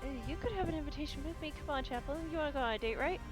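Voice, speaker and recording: A woman's voice: high voice, racing, music in the background. A woman playing a tabletop game, playful and exclaiming as she banters with the table. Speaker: player.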